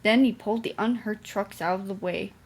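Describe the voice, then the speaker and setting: A woman's voice: medium pitch, brisk. A young woman reading a children's storybook aloud as its narrator. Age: young adult.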